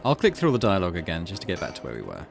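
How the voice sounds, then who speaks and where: A man's voice: deep voice, wildly swooping pitch, very fast, vocal fry, over music. A man giving casual let's play commentary, musing and thinking aloud in questions. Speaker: gaming video host.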